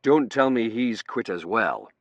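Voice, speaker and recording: A man voicing a brusque, commanding male academic in an audiobook, brisk, authoritative and easily exasperated. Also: medium pitch, very animated, no room sound, muffled recording, pitched above the performer's own voice.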